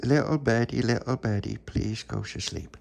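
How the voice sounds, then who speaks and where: A man's voice: medium pitch, normal speed, gravelly, a little hiss or hum, pitched above the performer's own voice, natural voice. A man voicing a cheeky, doubting boy, blunt but grudgingly compliant, in a told story. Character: cheeky, sceptical boy questioning a magician.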